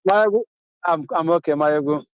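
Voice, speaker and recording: A middle-aged Nigerian man calls in on a dull, muffled, dry phone line. His voice sits fairly high, and he presses his points by saying them over and over. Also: moderate pace, clean tone, no room sound. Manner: emphatic, repeats key phrases. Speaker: caller. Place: Atlanta, abroad.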